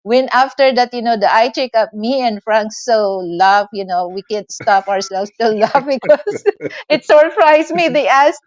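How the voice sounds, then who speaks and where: A woman's voice: on the high side, a little slow. A woman from the Philippines with a Filipino accent and Tagalog as her first language, hosting a casual interview, often laughing and saying "you know". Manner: laughs while talking, many "you know". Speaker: host. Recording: dull lo-fi sound.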